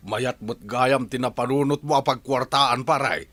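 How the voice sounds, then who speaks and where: A bright, joking Filipino buddy. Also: male voice, medium pitch, slow, no echo. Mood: amused. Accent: Filipino (Ilocano). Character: joking, conniving male buddy of a schemer. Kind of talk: radio drama acting in Ilocano.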